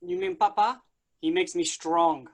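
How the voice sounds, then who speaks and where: A man's voice: high voice, unhurried. A man voicing a naive, rude, bloodthirsty chupacabra pet in a staged comedy reading. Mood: naive menace. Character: childlike, bloodthirsty male chupacabra pet monster, devoted.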